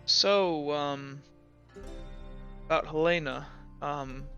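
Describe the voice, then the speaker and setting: A man voicing an upset, soft-hearted teenage girl in tabletop roleplay, speaking haltingly and sincerely. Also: fairly high pitch, vocal fry, lo-fi sound, faint music behind, full character voice. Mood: subdued, curious. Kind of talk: improvised tabletop roleplay dialogue.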